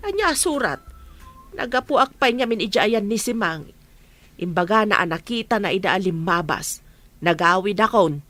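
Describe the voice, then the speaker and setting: A woman's voice: medium pitch, animated, moderate pace, fairly bright tone, soft background music, close to an everyday voice. A woman playing a worried village wife and mother who defends her children in a radio drama.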